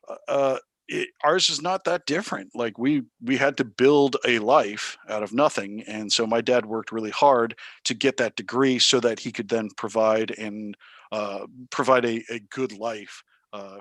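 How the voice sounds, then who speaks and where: A man in his thirties from Taiwan, a teacher answering interview questions in a thoughtful way, pausing often with ums and uhs. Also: medium pitch, fairly bright tone, no room sound. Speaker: high school math teacher, interview guest.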